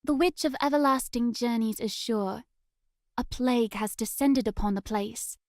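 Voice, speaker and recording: Woman playing a high-voiced, solemn little witch girl. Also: no room sound, studio quality, natural voice. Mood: ominous calm. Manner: formal, measured, matter-of-fact phrasing. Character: solemn, mysterious young witch girl, calm and oracular. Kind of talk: visual novel character acting.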